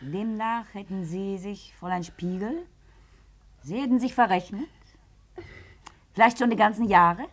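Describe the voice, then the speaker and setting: A stern woman who owns a business , played by an actress in an old radio drama. She speaks at a middling pitch, with clipped, sarcastic authority. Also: measured pace, long pauses, natural voice. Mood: bitter, controlled. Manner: curt, sardonic, commanding. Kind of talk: 1950s German radio drama acting.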